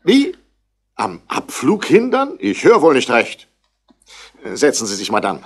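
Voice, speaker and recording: A man's voice: medium pitch, wildly swooping pitch, a little slow, slightly rough, heavily acted. An actor playing a haughty, irritable wealthy man in a 1960s radio play, speaking in a curt, formal way. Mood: irritated, indignant.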